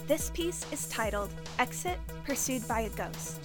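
A woman reads a scripted episode introduction as announcer. Her voice is somewhat high, and music plays clearly behind her. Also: lively intonation, a little slow.

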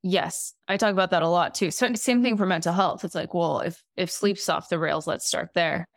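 A woman hosting a podcast in a raspy voice, curious and affirming as she asks questions. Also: fairly low pitch, normal speed, dry recording, clean studio sound. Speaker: podcast host. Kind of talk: casual podcast conversation.

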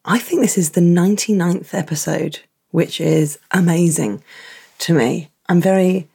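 A woman hosting her podcast from a small box room at home, chatty and croaky in the early morning. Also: fairly low pitch, a little slow. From England. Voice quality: husky. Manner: chatty, warm thanks and asides. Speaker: podcast host. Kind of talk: casual podcast intro.

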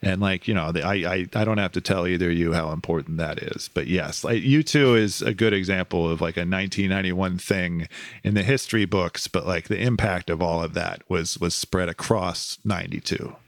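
Man, 40s, lowish voice, wry deadpan joker, casual podcast chat. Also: a little fast, dry recording. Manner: wry, dry humor with mock indignation. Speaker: music writer on a podcast.

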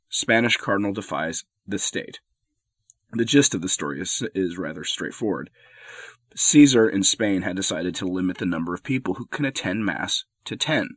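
A man's voice: sits low, no room sound, studio quality. A man with a head cold and a stuffy voice, hosting his own commentary show, arguing his points firmly with the odd sardonic aside. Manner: firm, argumentative, occasionally sardonic. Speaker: commentary podcast host. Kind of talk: spoken opinion commentary.

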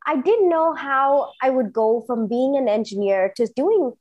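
A woman in her thirties who grew up in Singapore speaks as an interview guest, recounting her own path with earnest enthusiasm. Her voice sits a little high, and she leans on emphatic repetition as she tells her story. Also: animated, a little slow, darkish tone, muffled recording. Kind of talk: personal storytelling in conversation.

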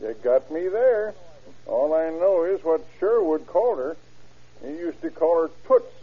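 An actor playing a disgruntled filling-station owner in an old radio drama, talking in a blunt, slangy, folksy way. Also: male voice, fairly high pitch, big pitch swings, a little slow, creaky, husky, exaggerated voice. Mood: offhand. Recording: muffled, phone-like old radio recording.

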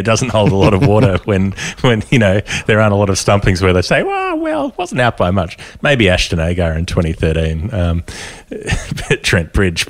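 A man co-hosting a casual cricket chat, laughing often through relaxed banter. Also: lowish voice. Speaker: cricket podcast co-host.

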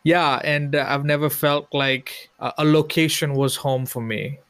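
A man's voice: a little high, fairly even intonation, a little slow, fairly bright tone, smooth, no echo. A man from Sri Lanka, a podcast guest, who speaks earnestly and searchingly, repeating phrases for emphasis. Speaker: interview guest. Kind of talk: personal reflective conversation.

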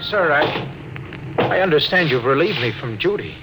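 A man's voice: a little high, expressive, normal speed, music in the background, close to an everyday voice. An actor playing an earnest, stubborn young male lieutenant in an old radio drama, formal and insistent. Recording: noisy vintage radio drama recording.

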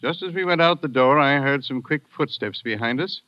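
A man's voice: medium pitch, fairly quick, no echo, lightly acted. An actor playing a matter-of-fact businessman who survived a shooting, in an old radio drama. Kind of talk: 1940s radio acting. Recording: dry, dull old radio recording.

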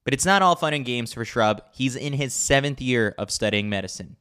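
A man's voice: medium pitch, animated, brisk, sharp tone, clean tone. A man reading a scripted sports newsletter with wry, tongue-in-cheek commentary. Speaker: podcast reader of a sports newsletter.

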